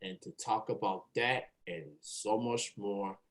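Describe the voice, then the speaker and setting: A man hosting a casual online interview, talking in a halting way and repeating words. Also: fairly low pitch, fairly even intonation, a bit bright. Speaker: show host interviewer.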